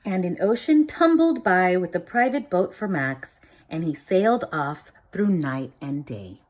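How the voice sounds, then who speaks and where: A woman reading a picture book aloud, expressive, dropping low and soft for dramatic lines. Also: measured pace. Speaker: storybook reader.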